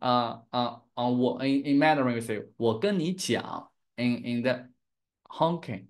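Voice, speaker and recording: A man's voice: mid-range voice, measured pace. A young man from Chengdu with a Chinese accent, teaching Mandarin on a live stream and switching between English and Chinese. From Chengdu, Sichuan, China. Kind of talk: live-streamed language lesson.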